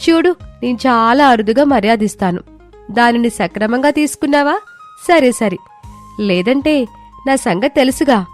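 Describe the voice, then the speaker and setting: A woman voicing a sharp-tongued young village wife in a comic story. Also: fairly high pitch, expressive, normal speed, soft background music, natural voice. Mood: scolding.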